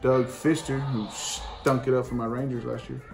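A man's voice: mid-range voice, moderate pace, creaky, music in the background. A man, casually reading out baseball card names at home. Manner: plain-spoken, slangy, calling out names one by one. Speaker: card collecting channel host. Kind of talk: casual card-opening commentary. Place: dining room at home, indoors. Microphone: camera on a table in front. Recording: home room with steady noise.

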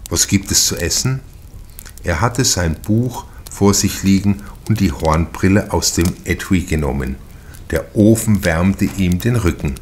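Man, low voice, scripted literary narration, measured, deliberate, crime audiobook. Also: darkish tone, a little hiss or hum.

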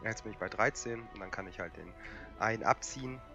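A young man with a cold, hoarse and coughing, casually commentating a strategy game with lots of fillers. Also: on the low side, fairly quick, dull audio, music in the background. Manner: relaxed and rambling, many fillers. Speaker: gaming channel host.

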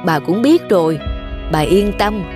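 Give the cartoon a wealthy middle-aged village matron. She is haughty, pushy and boastful, bossing people around with music clearly behind her. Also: lowish voice, expressive, measured pace. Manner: bossy, boastful. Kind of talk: animated folk tale dialogue.

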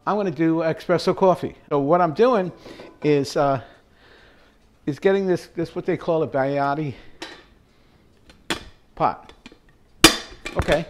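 A man's voice: fairly high pitch, unhurried, pauses often, a little mellow, slight background noise. Older male home-cook host, easygoing and rambling, recorded in a domestic kitchen on a camera a few feet away. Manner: chatty, rambling, with chuckles and fillers. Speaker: home cooking show host. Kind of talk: casual cooking demonstration. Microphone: fixed camera at mid distance.

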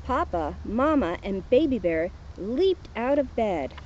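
A woman's voice: fairly high pitch, big pitch swings, slow pace, a little mellow. A woman in her fifties reading a storybook aloud and chatting casually, recorded outdoors by a camera. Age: 50s. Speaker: police officer reading to children. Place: outdoors by a lake. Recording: slightly noisy, dull outdoor camera sound.

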